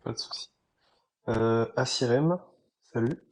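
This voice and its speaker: A man's voice: mid-range voice, unhurried. A man casually moderating a live online discussion as its host.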